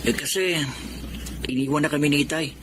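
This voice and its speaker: A man's voice: fairly high pitch, fairly quick, noisy background, lightly acted. A man acting a forlorn young boy in a Filipino radio drama, hesitant and plaintive. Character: forlorn young boy waiting for his sister.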